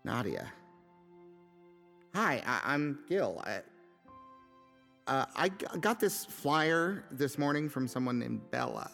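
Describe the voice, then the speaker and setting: A man playing a hapless, stubbornly optimistic ad man in a comic audio drama, with a halting, awkward, stammering delivery. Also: mid-range voice, sing-song, vocal fry, a bit bright, soft background music, exaggerated voice. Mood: awkward, nervous. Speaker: actor.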